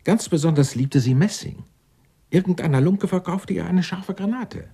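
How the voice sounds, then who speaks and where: A man's voice: high-pitched, moderate pace, warm tone, breathy edge. An actor playing an eccentric, indignant, self-righteous clerk in his sixties in a radio drama, speaking in a florid, pompous, theatrical way. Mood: theatrical, sardonic. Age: 60s. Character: eccentric, indignant 64-year-old Dutch tax-law clerk, self-righteous. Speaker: murder suspect being questioned.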